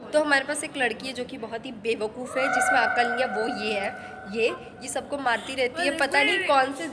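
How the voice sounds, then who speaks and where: A woman's voice: high-pitched, a little fast, very noisy. A young woman with an Indian accent chatting casually to a phone camera outdoors, teasing and rambling with bits of English. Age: young adult. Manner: playful, teasing, rambling, mixes English into speech. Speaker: vlogger. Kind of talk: casual, joking vlog chat. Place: outdoors at a rocky hillside site. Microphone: phone at arm's length.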